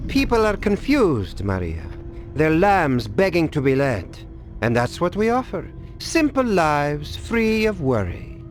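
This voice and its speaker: A man playing a haughty, smooth-talking Templar villain in a video game, with a condescending, sardonic manner. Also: on the high side, wildly swooping pitch, unhurried, faint music behind.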